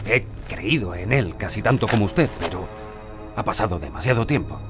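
An actor playing an older, authoritative lord and patron in an audio drama, grave, formal and resigned. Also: male voice, on the low side, animated, moderate pace, music in the background, lightly acted. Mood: firm.

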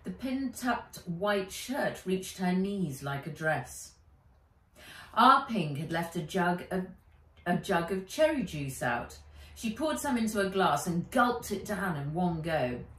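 A woman's voice: fairly low pitch, sing-song, takes it slowly, fairly bright tone. A middle-aged British woman, an author reading her storybook aloud to children. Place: bright room by garden windows, indoors. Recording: roomy, a little distant from mic.

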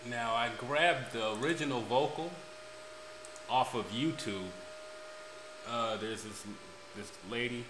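A deep-voiced man casually walking through a music-software tutorial from his home studio, laid-back and rambling with plenty of fillers. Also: slow, pauses often. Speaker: music producer. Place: home studio room, indoors. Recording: echoey room with background noise.

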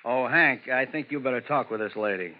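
An actor playing a polite police desk officer in a 1950s radio drama. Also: male voice, mid-range voice, a little fast, natural voice. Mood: polite, calm. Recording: noisy, muffled old radio broadcast.

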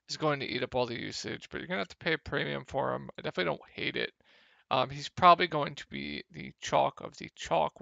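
A young man with a slightly hoarse, gravelly voice, casually talking through fantasy football picks over a screen share, with many ums. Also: fairly high pitch, no room sound, lo-fi sound. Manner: relaxed, lots of ums. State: a little hoarse. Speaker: fantasy sports analyst.

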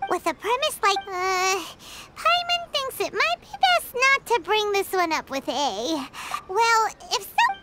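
A woman's voice: very high-pitched, sing-song, measured pace, heavy background noise, full character voice. A woman voicing a tiny, chirpy female sprite companion in a video game, who speaks of herself in the third person. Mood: chatty. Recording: game audio under music.

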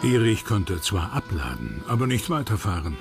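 A man reading scripted documentary narration in a lively voice over music. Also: on the low side, normal speed.

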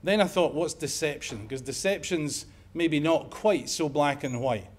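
A man's voice: a little high, measured pace. Wry Scottish man giving a lecture. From Scotland. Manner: wry, joking, conversational lecturing. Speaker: emergency medicine professor giving a lecture. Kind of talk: conference talk. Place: lecture room.

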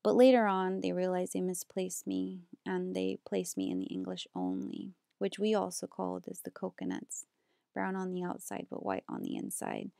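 Young woman with a gravelly, dry voice. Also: fairly low pitch, no room sound. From United States. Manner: steady, earnest, measured reading. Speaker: graduate student presenter. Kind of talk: reading a prepared slideshow presentation.

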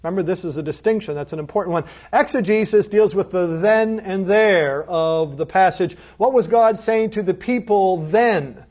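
A man's voice: high voice, normal speed, hardly any pauses, a little mellow. A man giving a lecture on preaching. He talks in an earnest, teacherly way and often asks the listeners rhetorical questions. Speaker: seminary lecturer.

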